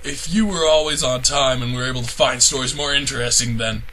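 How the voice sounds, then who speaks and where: A man's voice: medium pitch, a little fast, pushed and bright, slight background noise, close to an everyday voice, rough recording. A man playing a bossy, sarcastic male news editor who swears when angry, with music behind. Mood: smug. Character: bossy smug male newsroom chief, quick to rage.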